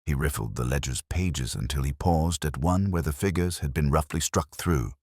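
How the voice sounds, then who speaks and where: A man's voice: rumbling low, moderate pace, a little mellow, husky, no echo, studio quality. A man reading a scripted detective story as a first-person narrator. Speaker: audiobook narrator.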